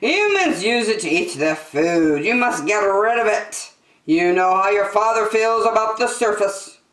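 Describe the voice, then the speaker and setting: A woman's voice: mid-range voice, expressive, measured pace, a bit bright, roomy sound, pitched above the performer's own voice, rough recording. A woman voicing a fussy, disapproving male crab advisor in a storybook. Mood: dismissive, warning.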